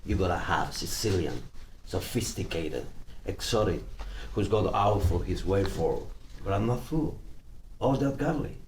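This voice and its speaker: A man's voice: low-pitched, expressive, a little slow, a little mellow. A man playing a brash, volatile, foul-mouthed mobster with a cocky, needling manner at a script table read. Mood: smug, needling. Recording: roomy, distant mic with faint noise.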